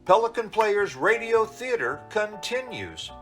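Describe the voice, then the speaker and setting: A male radio announcer delivers a scripted promo slowly and carefully. His pitch is slightly high and moves in a lively way, over soft guitar music. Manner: measured, carefully phrased. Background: soft plucked guitar music.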